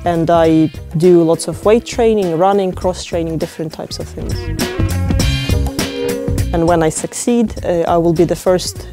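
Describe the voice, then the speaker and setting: Woman, lowish mellow voice, easygoing interview talk w lots of uhs, soft music under. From Ukraine. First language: Ukrainian. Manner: unhurried, with many fillers and restarts. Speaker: interviewee, open-water swimmer.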